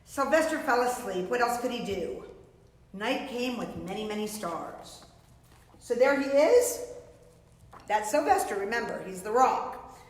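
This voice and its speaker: Woman children's storyteller, middle-aged: highish, very animated, theatrical, reverberant room. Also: a little slow, long pauses. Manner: theatrical, explanatory, addressing young children. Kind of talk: read-aloud of a picture book to children. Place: indoors before a painted storybook backdrop.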